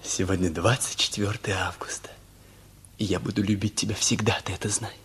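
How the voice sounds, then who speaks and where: Lovestruck school-leaver for a radio play, very husky, hushed, earnest and hesitant. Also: male voice, on the low side, level tone, a little fast, exaggerated voice. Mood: tender, devoted. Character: shy, sincere teenage boy in love. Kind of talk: Russian radio play acting.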